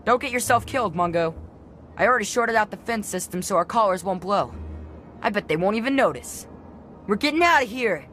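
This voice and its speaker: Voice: mid-range voice, lively intonation, brisk, pushed and bright. Bossy street kid from a video game, slangy n wary, faint music behind. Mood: wary, cocky. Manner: blunt, slangy, cocky. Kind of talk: video game character acting.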